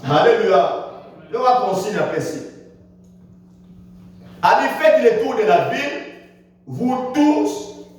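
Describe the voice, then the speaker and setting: A male pastor preaching a Sunday sermon in French, with dramatic swings in pitch and loudness and frequent calls of hallelujah. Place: church. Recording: echoey church hall, distant mic, faint noise.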